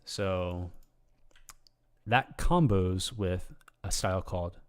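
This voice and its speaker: Slightly hoarse man, rambling game walkthrough. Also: low-pitched, slow pace. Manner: rambling, hesitant, full of ums and restarts; relaxed, casual explaining. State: slightly hoarse, losing his voice. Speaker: game guide video creator. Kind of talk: unscripted game tutorial commentary.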